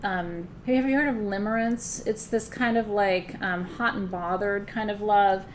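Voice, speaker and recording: A woman's voice: mid-range voice, steady tone, normal speed, slight background noise. A woman teaching a live spiritual class in a loose, conversational way, often checking in with her listeners. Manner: loose, frequent fillers and restarts.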